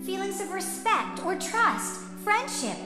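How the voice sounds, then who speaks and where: A woman's voice: very high-pitched, very animated, takes it slowly, loud music behind the voice, heavily acted. A woman voicing an earnest, brave teenage schoolgirl in an English anime dub, speaking sincerely and emphatically. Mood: resolute. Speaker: voice actor.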